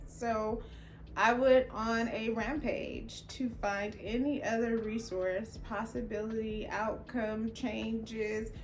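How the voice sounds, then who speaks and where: A young adult woman, a lawyer from Los Angeles whose first language was Jamaican Patois, tells her own story in a casual, expressive way and acts out the lines of people she quotes. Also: fairly high pitch, a little hiss or hum. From Los Angeles, California. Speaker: attorney. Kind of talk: personal storytelling.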